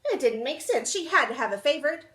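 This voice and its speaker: A young woman reading a children's storybook aloud indoors in an expressive, dramatic storytime style. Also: high voice, fast talker. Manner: animated, dramatic. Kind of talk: expressive picture-book read-aloud. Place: small indoor room.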